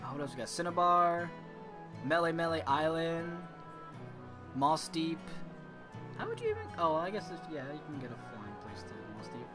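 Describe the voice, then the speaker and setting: A man's voice: on the high side, very animated, normal speed, pauses often. A man giving casual, irreverent let's-play commentary, exclaiming and swearing, with game music behind him. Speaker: gaming video host.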